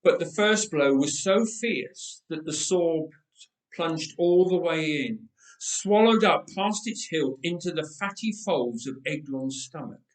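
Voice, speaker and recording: A man reading a dramatic story aloud with measured, emphatic delivery and dramatic pauses. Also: on the high side, lively intonation. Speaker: storyteller, reader. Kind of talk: dramatic scripted story narration. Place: roomy church interior. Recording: echoey church, distant microphone.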